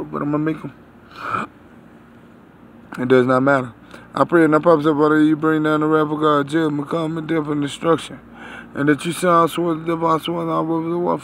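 A man in his thirties giving a rambling religious talk to viewers, halting and repeating his phrases, recorded on a phone outdoors. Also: on the high side, gravelly, a little hiss or hum. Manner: repetitive, halting, circling back over phrases. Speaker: online preacher, self-styled prophet. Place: outdoors beside a building. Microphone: phone at arm's length.

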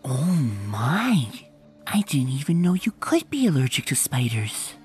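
A woman voicing a timid, gentle young female pony in a comic dub, talking softly and hesitantly with a breathy, whispery voice. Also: sits low, big pitch swings, unhurried, soft background music, voice pushed down. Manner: hesitant, shy, nervous.

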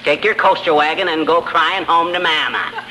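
An actor playing a cocky, argumentative husband in 1940s radio comedy, combative and scrappy. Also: male voice, a little high, steady tone, normal speed, natural voice. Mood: scornful, combative. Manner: combative, scrappy, stammers when flustered. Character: cocky, argumentative small husband, quick with silly insults. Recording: noisy vintage 1940s radio recording.

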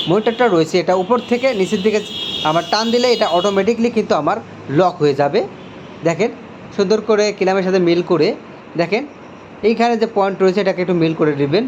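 A man's voice: high voice, background noise. A man with a Bangladeshi accent giving a hands-on tutorial as an electrician, explaining step by step and repeating himself as he points things out.

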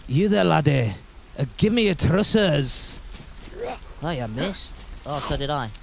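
Male gaming host, wry and self-mocking, slightly high voice with a gravelly edge, loose gameplay chatter. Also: expressive, measured pace, noisy background. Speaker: gaming YouTuber. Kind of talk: casual gameplay commentary.

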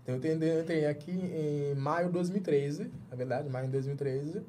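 A man's voice: a little high, brisk, warm tone, slight background noise. A man in his thirties from Jamaica with a Jamaican accent, a journalist guest in a small padded studio, often restarting words as he searches for them in Portuguese. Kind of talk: casual interview talk.

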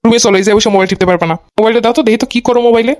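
Woman voicing a bossy, fast-talking Bangladeshi cartoon husband. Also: on the high side, steady tone, a little mellow, no echo, studio quality, pitched below the performer's own voice, natural voice. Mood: suspicious, annoyed. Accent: Bangladeshi Bengali. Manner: curt, nagging. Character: dismissive, bossy young husband, irritable and suspicious. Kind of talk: amateur cartoon dialogue.

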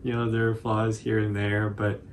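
A man's voice: lowish voice, monotone, moderate pace. A man, chatting casually and modestly through a tutorial. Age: 20s. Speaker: cosplay channel host. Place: small room indoors on a couch. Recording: faint background noise.

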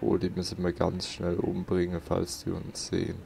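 A man's voice: deep voice, monotone, moderate pace, vocal fry, warm tone, a little hiss or hum. A man doing casual let's play commentary and reading in-game notes aloud, close to the microphone.

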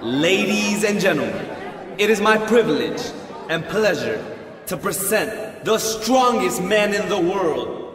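An actor playing a grandiose, quick-tempered circus showman, declaiming like a stage announcer in an audio drama. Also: high-pitched, animated. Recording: echoey hall, distant, loud crowd noise.

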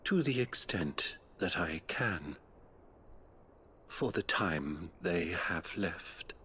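An actor playing a gentle middle-aged priest with a British accent, speaking formally and compassionately in a video game. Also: male voice, low voice, animated, very slow, slight background noise, heavily acted. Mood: solemn, sorrowful. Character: gentle, solemn middle-aged abbey priest tending the dying. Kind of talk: video game cutscene acting.

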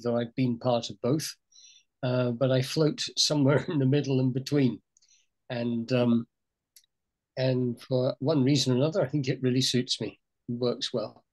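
An older man speaking on a video call from a room at home, reflective and unhurried, with frequent pauses and fillers. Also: mid-range voice, poor audio. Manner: thoughtful, hesitant, many fillers. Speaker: program co-leader. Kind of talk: reflective webinar talk.

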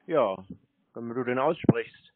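A man's voice: medium pitch, unhurried, dry recording. A man on a phone call, taunting and insulting the other side with dry, short retorts. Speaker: scam baiter taking a scam call. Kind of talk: prank phone conversation. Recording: thin narrowband phone line.

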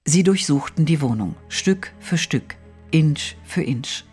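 A man narrating a detective audio play in a measured, literary, suspenseful way. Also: fairly high pitch, expressive, darkish tone, faint music behind. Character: storytelling narrator of a mystery.

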